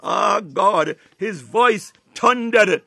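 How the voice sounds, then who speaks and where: A man's voice: high voice, drawn-out words, gravelly, pushed and bright, husky, dry recording. A man preaching a fervent charismatic sermon and prayer, breaking his phrases with repeated exclamations.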